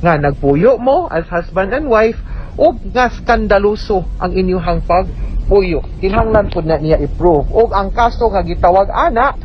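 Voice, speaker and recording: A woman's voice: medium pitch, lively intonation, a little slow. A woman with a Cebuano Filipino accent giving spoken legal advice on the radio, explaining emphatically and checking with tag questions. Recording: dull, noisy radio recording.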